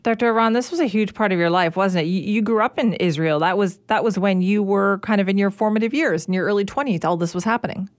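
A woman host asking interview questions quickly, sprinkling in "like". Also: mid-range voice, no room sound, clean studio sound. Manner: quick, with "like" fillers.

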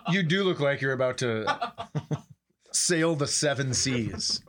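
A man's voice: medium pitch, animated, takes it slowly, a bit bright. A man with an American accent hosting a podcast in casual comedic banter, joking, teasing and profane.

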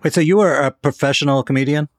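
A man's voice: fairly high pitch, fast talker, no room sound, studio quality. A man with an American accent hosting a podcast in a conversational style. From Washington, D.C., United States. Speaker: podcast host.

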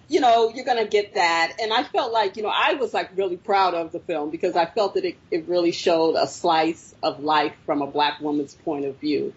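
A woman's voice: on the low side, breathy edge, dull audio. A woman filmmaker answering interview questions, telling her story reflectively with frequent fillers. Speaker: filmmaker guest.

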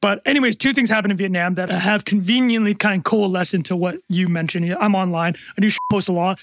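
Canadian man in his thirties, podcast guest; high, fast, wisecracking delivery, recorded close and dry. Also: steady tone, a bit bright, dry recording. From North America. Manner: joking, self-deprecating, quick asides. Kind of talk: casual podcast interview.

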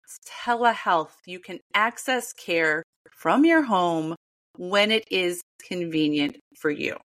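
A woman in her fifties co-hosting a podcast, talking with animated, emphatic phrasing. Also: lowish voice, slow pace. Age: 50s. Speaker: podcast co-host. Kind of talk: casual podcast chat and read ad.

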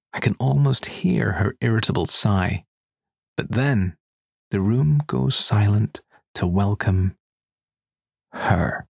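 Man, audiobook narrator, scripted erotic fiction, husky, fairly low, very dry close sound. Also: no room sound. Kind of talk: first-person erotic story narration, scripted.

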